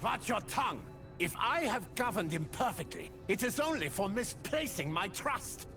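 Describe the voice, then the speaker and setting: An actor playing a pompous, self-serving king, haughty and quick to anger, in theatrical video game drama. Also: sits high, very animated, vocal fry, sharp tone, full character voice. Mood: indignant. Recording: music behind the voice.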